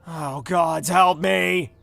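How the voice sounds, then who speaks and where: A man's voice: a little high, gravelly, a bit bright, no room sound, full character voice. A man playing an exasperated, lovestruck young nobleman in a fantasy audio drama. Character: young nobleman, exasperated, secretly smitten, fearful of his father.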